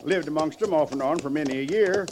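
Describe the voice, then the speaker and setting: A man's voice: fairly high pitch, quick pace, natural voice. Man playing a folksy old frontier scout, noisy recording. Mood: defending, reasoning. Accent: American. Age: older. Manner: folksy, homespun, opinionated. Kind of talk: vintage radio western acting. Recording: noisy vintage radio drama recording.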